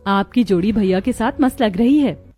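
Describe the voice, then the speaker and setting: A woman's voice: medium pitch, moderate pace, mellow, lightly acted. A woman voicing a cheerful, supportive young sister-in-law in a cartoon family story. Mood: encouraging.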